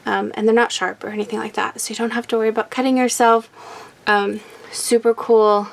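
Raspy chatty woman crafter. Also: fairly high pitch, a little fast. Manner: chatty, many "um"s, excitable. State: raspy from recent illness. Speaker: craft channel host. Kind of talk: casual product unboxing chat.

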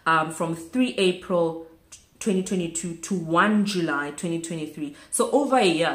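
A woman from South Africa talks straight to a close camera in a small shelved room. Her voice sits on the low side, and the room adds a noticeable echo. She chats casually and leans on repeated words for emphasis. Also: a bit bright. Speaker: vlogger. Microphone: camera close in front. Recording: echoey room.

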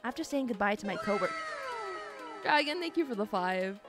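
A woman's voice: medium pitch, animated, fairly quick. A tired woman hosting a livestream, chatting casually and reading a visual novel aloud, pleading and exasperated. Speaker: streamer host. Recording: music playing behind the voice.

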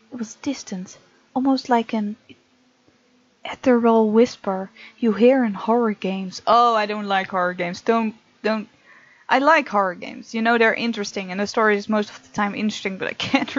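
Woman, game streamer, reading aloud, casual giggly asides, mid pitch, dull dry recording. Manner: chatty, giggly, reacts aloud to the story.

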